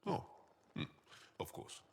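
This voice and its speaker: An actor playing a guarded, earnest male alien freedom fighter in a video game, speaking haltingly and choosing his words with care. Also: dark tone, big-room sound, lightly acted. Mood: bashful. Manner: halting, deliberate, searching for words.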